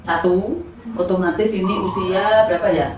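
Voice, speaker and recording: A woman's voice: on the low side, fairly even intonation, normal speed, a little mellow. A woman in her fifties with a Javanese Indonesian accent, giving casual answers in an interview. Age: 50s. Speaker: interviewee, mother of the household. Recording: very noisy, echoey, far from mic.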